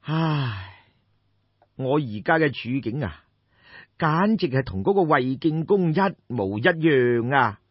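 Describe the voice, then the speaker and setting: A man's voice: medium pitch, animated, slow, slightly rough. A man with a Cantonese accent playing an obsequious, theatrical minister to an ancient king in an audiobook. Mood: mock despair. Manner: obsequious, sighing, deferential. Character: fawning, theatrical male court minister to a king. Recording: dull, roomy lo-fi recording.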